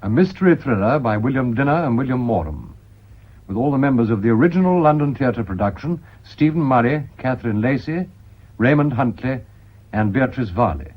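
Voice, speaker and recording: A man's voice: mid-range voice, expressive, brisk. A man making a formal, scripted announcement for a radio theatre production. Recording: dull, muffled old radio recording.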